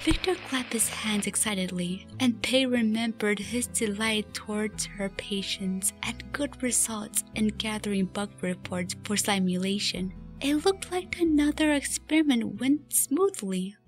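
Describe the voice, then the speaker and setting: A woman's voice: mid-range voice, measured pace, few pauses, faint music behind. A woman reading scripted story narration as the narrator of a fan radio play. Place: recorded voice-over with music behind.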